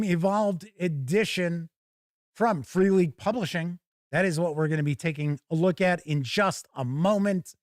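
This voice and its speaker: A man's voice: a little high, dry recording, studio quality. A middle-aged man hosting a tabletop gaming livestream, chatting casually in a rambling, informal way. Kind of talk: casual livestream chat and product first look.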